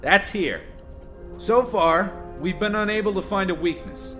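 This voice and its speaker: Man as rebel officer, sci-fi audio drama, high voice, earnest briefing, declarative. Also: measured pace, a bit bright, soft background music. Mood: earnest, urgent.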